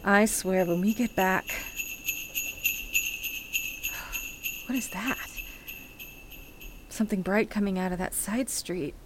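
A woman's voice: mid-range voice, moderate pace, a little hiss or hum, close to an everyday voice. A woman playing a skeptical, polite and bemused city dweller in a radio play, with a hesitant, incredulous manner. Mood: exasperated, curious. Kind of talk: naturalistic radio play acting.

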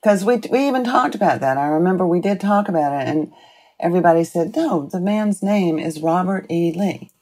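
An older woman being interviewed, reflecting on the past in a deliberate way with drawn-out phrases. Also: on the low side, lively intonation, a little mellow. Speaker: interviewee, former school board member.

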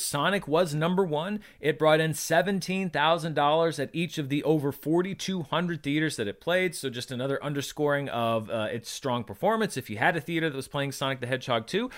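A man's voice: fairly high pitch, a bit bright. A man presenting film box office commentary, conversational and emphatic, as the host of his own show.

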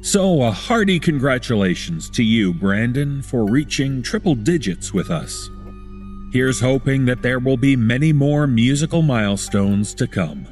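A man reading scripted narration as a podcast host, in a measured, deliberate way. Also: medium pitch, lively intonation, darkish tone. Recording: music under the voice. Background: background music.

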